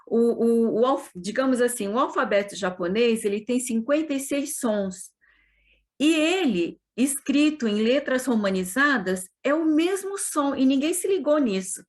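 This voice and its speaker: A woman's voice: on the high side, expressive, measured pace. A woman from Brazil who teaches Portuguese to children, explaining eagerly with many restarts in a casual panel talk. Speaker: heritage Portuguese teacher in Japan.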